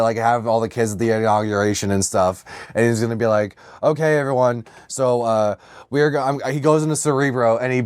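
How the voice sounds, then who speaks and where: A man's voice: medium pitch, dry recording. Foul-mouthed podcast guy in a dry room. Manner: foul-mouthed, riffing with exaggerated enthusiasm. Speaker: podcast co-host. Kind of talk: casual comedic banter.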